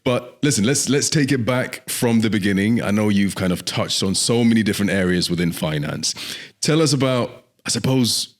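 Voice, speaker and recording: A man with a lowish voice hosting a studio interview. He asks his questions in a loose, friendly way, restarting and repeating words as he goes. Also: lively intonation, moderate pace. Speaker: host. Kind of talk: interview host.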